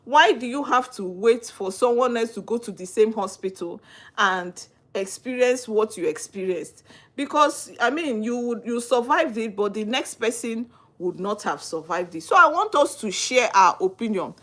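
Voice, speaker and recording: A woman's voice: on the high side, expressive, moderate pace, a bit bright. Earnest Nigerian woman hosting a livestream. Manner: earnest, asks rhetorical questions, greets viewers by name. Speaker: livestream host on legal topics. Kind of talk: live-stream talk answering viewer comments.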